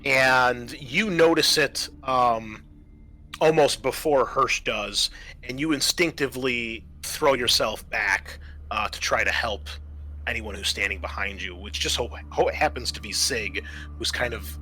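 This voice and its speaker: A man acting as game master, improvising narration and explaining rules, often pausing mid-sentence as he thinks. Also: medium pitch, bright, forceful tone, low-quality recording. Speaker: game master and host of a tabletop roleplaying session. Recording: noticeable background room noise.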